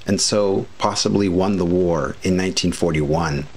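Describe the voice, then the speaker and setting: A man reading scripted documentary narration with commentary in a measured, formal way. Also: low-pitched, a little mellow, slight background noise, poor audio. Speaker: history video narrator.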